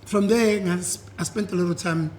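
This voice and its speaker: A young South African man with a husky voice, chatting easily as an interview guest and mixing in isiZulu. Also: sits high, brisk, a crowd in the background. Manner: relaxed, switches between English and isiZulu, repeats "yeah". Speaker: guest, singer. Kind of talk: casual interview chat.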